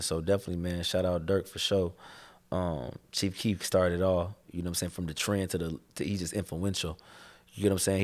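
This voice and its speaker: A man's voice: low-pitched, a little mellow. A young man from the Chicago area, a rapper talking casually in an interview, laid-back and slangy, often saying "you know what I'm saying". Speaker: rapper guest.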